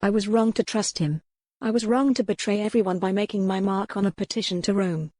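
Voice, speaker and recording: A woman's voice: mid-range voice, fairly quick, darkish tone, no echo, studio quality, natural voice. A woman voicing a remorseful yet determined young noblewoman in a historical romance audiobook. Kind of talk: dramatic audiobook character reading.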